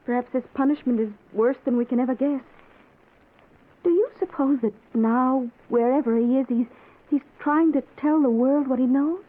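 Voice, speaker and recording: A woman's voice: high voice, normal speed, narrow, tinny audio. An actress playing a troubled, earnest young woman in mourning, in 1940s radio style. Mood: troubled, wondering. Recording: thin old radio broadcast.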